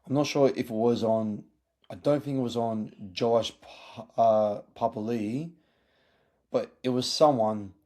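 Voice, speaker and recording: A man hosting a casual sports review podcast, his voice hoarse from yelling, halting with many fillers and restarts. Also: medium pitch. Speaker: podcast host.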